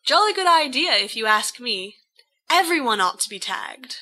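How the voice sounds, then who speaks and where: Woman as bright-voiced, confident schoolboy ringleader. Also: on the high side, very animated, normal speed, exaggerated voice. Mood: confident, jovial. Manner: hearty, posh schoolboy slang. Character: confident, jovial, challenging teenage schoolboy ringleader. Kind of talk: amateur audio fan fiction reading.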